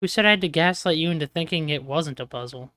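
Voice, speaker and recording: A man's voice: on the high side, fast talker, pushed and bright. A young man acting as game master in a casual voice chat, joking and teasing his players and often trailing off mid-sentence. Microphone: online voice chat mic.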